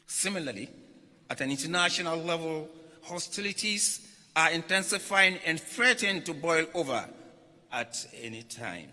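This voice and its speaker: A religious leader, a man, delivers a formal written address. His voice sits a little high and is strikingly bright and forceful. He phrases deliberately, pausing between short groups of words, and the sound rings through a reverberant hall. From Ghana. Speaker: religious leader reading a message at a conference. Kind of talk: formal speech read aloud. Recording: echoey hall with long reverb.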